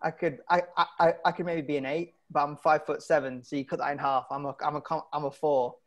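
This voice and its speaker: The halting, self-deprecating British man in his thirties you would hear testing jokes from his living room at an online comedy night. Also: fairly high pitch, flat delivery, a bit bright. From Britain. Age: 30s. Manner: halting, self-deprecating, often chuckling at his own lines. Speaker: stand-up comedian. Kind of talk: stand-up comedy set over video call. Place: indoor room at home. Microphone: wired earphones on a video call.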